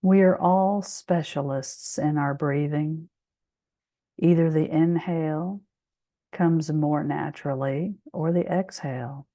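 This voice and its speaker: A woman guiding a meditation in a soothing, measured way, leaving long pauses between phrases. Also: deep voice, mellow, dry recording, studio quality. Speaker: meditation guide. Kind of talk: guided meditation.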